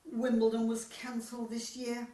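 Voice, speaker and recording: A chatty older widow, played by a woman in an amateur radio comedy, easily diverted and agreeable. Her voice is fairly high and comes from a little way off in an echoey room. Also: completely flat delivery, normal speed, dark tone, distant mic, lightly acted. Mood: chatty, wistful. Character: chatty older widow on a tennis club committee.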